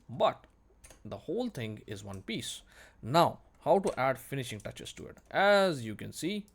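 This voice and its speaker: A man giving an unscripted tutorial as an instructor, explaining conversationally and repeating words for emphasis. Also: mid-range voice. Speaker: 3D modelling instructor, video host. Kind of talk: unscripted software tutorial narration.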